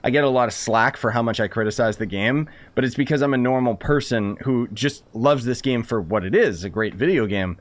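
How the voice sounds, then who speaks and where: A man's voice: medium pitch, brisk, few pauses. A man giving casual, slangy live game commentary as a streamer, opinionated and rambling. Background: faint game music.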